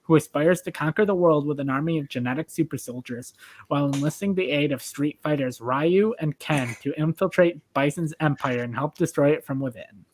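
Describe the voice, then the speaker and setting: A man's voice: on the high side, fairly quick, a little hiss or hum, low-quality recording. A man hosting a podcast, casually reading out background information. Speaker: podcast host and judge.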